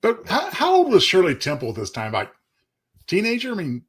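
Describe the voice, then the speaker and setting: A man's voice: sits high, huge pitch swings, fairly quick, husky. A man co-hosting in casual chat, with a wry, mock-exasperated way of talking. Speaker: radio show co-host.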